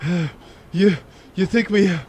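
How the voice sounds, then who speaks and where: A man playing a dazed, queasy, wisecracking small-time outlaw who speaks haltingly in a comic audio drama. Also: high-pitched, a little mellow, slight background noise. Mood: groggy, queasy. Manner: groggy, halting speech.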